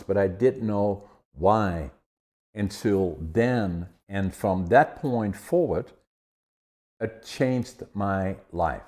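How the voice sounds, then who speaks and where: Older dutch guy telling his story, kinda low dark voice, lots of restarts. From Netherlands. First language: Dutch dialect. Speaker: guest. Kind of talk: conversational interview.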